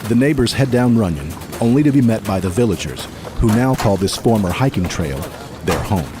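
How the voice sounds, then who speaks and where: A man narrating a post-apocalyptic radio drama in a measured, grave, scripted delivery. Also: on the low side, expressive. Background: music.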